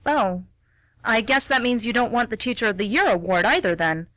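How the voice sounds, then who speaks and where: A woman playing a polite, hesitant schoolteacher who gently questions, in an amateur radio play. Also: fairly high pitch, animated, brisk, no room sound. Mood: polite, mild. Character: polite, mild female schoolteacher, curious and hesitant.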